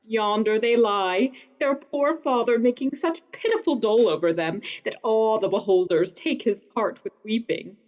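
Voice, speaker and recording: A woman's voice: a little high, normal speed, few pauses, a little mellow, muffled recording, natural voice. A woman playing an affected courtier bearing news in a Shakespearean radio play. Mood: affected, courtly.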